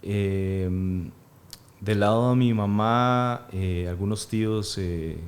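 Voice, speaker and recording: Thoughtful thirtysomething man, low voice. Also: takes it slowly. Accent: Costa Rican Spanish. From Peru. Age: 30s. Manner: thoughtful, hesitant, many fillers. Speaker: actor and guest. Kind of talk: casual interview.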